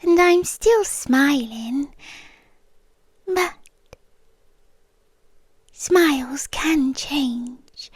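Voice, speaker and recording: A British woman playing a menacing, possessive yandere girlfriend in an ASMR roleplay, speaking with deliberate teasing pauses and breathy half-whispers. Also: high voice, no room sound. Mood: patient threat. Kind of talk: ASMR roleplay, slow intimate dramatic monologue.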